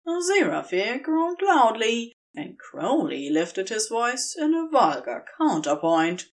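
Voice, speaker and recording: Unhurried woman reading fiction expressively. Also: a little high, very animated. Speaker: audio fiction reader. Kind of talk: expressive scripted story narration.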